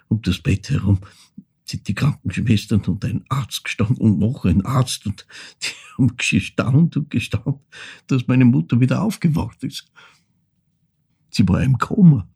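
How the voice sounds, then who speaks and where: An actor playing a haunted older man with an Austrian accent, telling of his mother in a halting, intimate theatrical monologue with a husky, creaky voice. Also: mid-range voice, a little mellow, full character voice. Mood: agitated remembering. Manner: halting, brooding, shifting into whispers.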